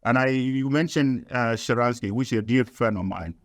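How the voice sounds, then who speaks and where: A man from Sudan, giving deliberate, emphatic interview answers in nonstandard English. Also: medium pitch, dry recording. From South Sudan. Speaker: guest, activist.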